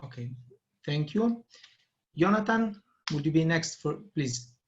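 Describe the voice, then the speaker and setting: A man moderating an online debate, explaining the schedule with many pauses and fillers. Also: on the high side, animated, slight background noise. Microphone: video call. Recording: lo-fi video call audio.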